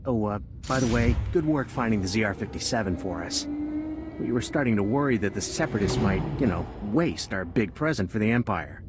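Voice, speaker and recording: An actor playing a smug traitor soldier in a video game, casual and sarcastic. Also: male voice, lowish voice, expressive, brisk, heavy background noise, close to an everyday voice. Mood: smug mockery. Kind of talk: video game cutscene acting.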